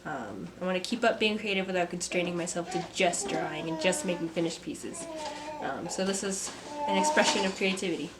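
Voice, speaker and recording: A young woman, a mother and artist, talking to camera from a script in a soft, reflective vlog; she sounds stuffy and congested. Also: on the low side, a little fast, hardly any pauses, a loud crowd. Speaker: vlogger, artist. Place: home. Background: kids playing.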